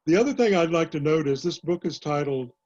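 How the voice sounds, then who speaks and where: An older man, a historian and author, answering questions in a panel talk, measured and full of pauses. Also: a little high, expressive, darkish tone, lo-fi sound. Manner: measured, many "uh" pauses and restarts. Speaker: author and historian, guest speaker.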